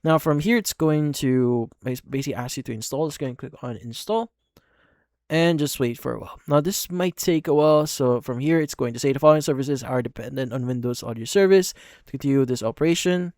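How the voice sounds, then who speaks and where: Man narrating a software tutorial, dry sound. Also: mid-range voice, animated, brisk, no echo. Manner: repetitive step-by-step instructing. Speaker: tutorial host.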